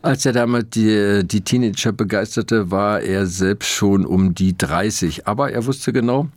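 Enthusiastic low-voiced male radio editor. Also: unhurried, no room sound. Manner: enthusiastic, many filler sounds. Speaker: music editor. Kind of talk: radio feature chat. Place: radio studio. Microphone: studio mic.

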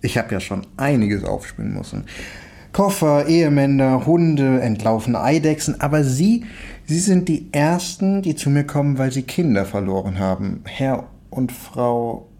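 A woman's voice: extremely low pitch, big pitch swings, unhurried, dark tone, voice pushed down, full character voice. A woman voicing a short, stocky, self-satisfied male detective in a storybook reading. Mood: amused.

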